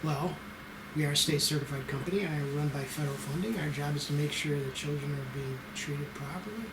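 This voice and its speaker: A man's voice: on the high side, fairly quick, natural voice. A man playing a self-assured, wry special agent from child services in an amateur screenplay table read. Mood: businesslike. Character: cocky, unflappable male federal child services special agent. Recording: roomy echo with clear noise.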